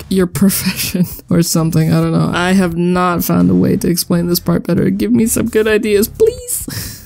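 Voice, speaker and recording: Bright, chatty young woman. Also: lowish voice, creaky. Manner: informal, self-aware, giggly, slangy asides. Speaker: video creator narrating her worldbuilding. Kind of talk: casual chatty voice-over narration.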